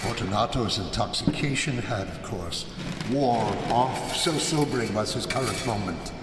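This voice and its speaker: An older actor playing a cold, scheming nobleman who narrates his revenge in a slow, theatrical, mocking way, recorded live in a hall. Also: close to an everyday voice. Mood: menacing. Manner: slow, theatrical, mocking, swinging sharply in pitch. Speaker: Montresor, narrator-murderer. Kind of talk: live stage radio drama. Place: large hall before a live audience. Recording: roomy long hall reverb, audience noise.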